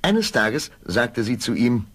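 A man's voice: medium pitch, very animated, slow, fairly bright tone, heavily acted. A man narrating a fairy tale as the storyteller of a scripted radio play. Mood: storytelling.

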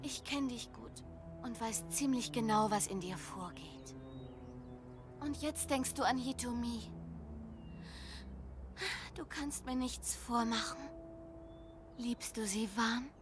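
A woman's voice: fairly high pitch, lively intonation, slow, pauses often. A woman playing a loyal, outspoken girl in an audio drama, talking in a direct, emotive way. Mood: tender, earnest. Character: loyal, outspoken girl consoling her sad friend. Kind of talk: German audio drama acting. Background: music.

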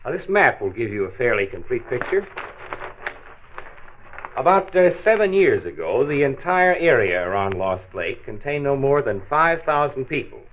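A man acts a genial health commissioner in a 1940s radio play. His delivery is lively and varied, patient and avuncular as he explains. The sound is narrow and tinny, from an old transcription disc. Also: medium pitch, moderate pace, a little hiss or hum, natural voice. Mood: friendly, explanatory. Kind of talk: 1940s radio drama acting.

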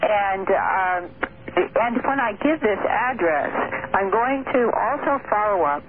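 A woman talks earnestly and precisely as a radio guest. Her voice comes through a narrow, phone-like line with faint noise. She words things formally and carefully. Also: medium pitch. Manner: measured, articulate, formal wording. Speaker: radio guest, researcher and author. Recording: noisy narrow-band telephone radio line.

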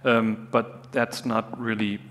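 Flat-toned man tacking on "yeah". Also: fairly low pitch, takes it slowly, slight background noise. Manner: casual, repeats "yeah". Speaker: panelist. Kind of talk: conference panel discussion. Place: conference stage before an audience.

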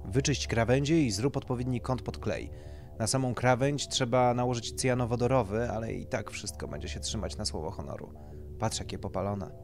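A man's voice: medium pitch, brisk, vocal fry, a little mellow, natural voice. A man voicing a bossy, businesslike surgeon in a horror audiobook, coolly appraising bodies and giving instructions, with music behind. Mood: bossy, critical.

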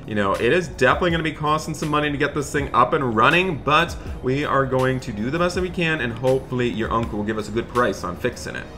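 A man's voice: medium pitch, expressive, fast talker, few pauses, background noise, close to an everyday voice. A man playing a cheerful, thrifty father in an improvised video game roleplay, chatty and folksy. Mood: upbeat. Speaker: gaming video host.

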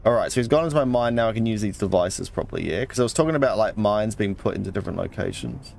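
Gravelly man muttering through a game. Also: on the low side, lively intonation, quick pace, a little mellow, a little hiss or hum. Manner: muttering to himself, thinking aloud. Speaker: gaming channel host. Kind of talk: casual let's play commentary. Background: game music.

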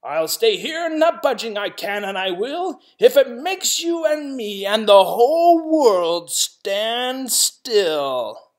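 A man voicing a stubborn, hot-tempered male south-going Zax creature in a children's storybook, snapping and yelling defiantly. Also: sits high, wildly swooping pitch, slow pace, vocal fry, few pauses, pitched above the performer's own voice, full character voice.

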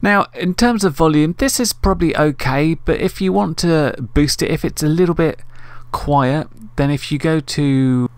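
A man's voice: fairly high pitch, moderate pace, hardly any pauses, a little mellow, dry recording. A man hosting a casual screen-recorded tutorial, thinking aloud informally as he works. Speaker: tutorial host. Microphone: USB desktop microphone.

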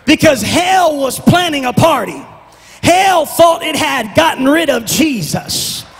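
A middle-aged man preaching on a church stage into a handheld mic, with a shouting, half-sung preacher cadence that drops to quiet prayer. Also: very high voice, animated, slow. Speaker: pastor. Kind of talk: Pentecostal sermon and prayer. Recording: long church reverb, music behind.

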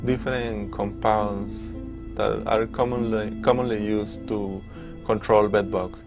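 A man, a researcher explaining a lab procedure. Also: mid-range voice. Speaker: graduate student researcher. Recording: clear music behind the voice.

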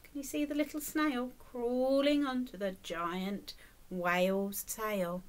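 A middle-aged woman reading a picture book aloud to young children, expressively and deliberately, prompting them with questions. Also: on the high side. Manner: animated, slow and deliberate, prompting young listeners. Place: indoors, small room with decorated wall.

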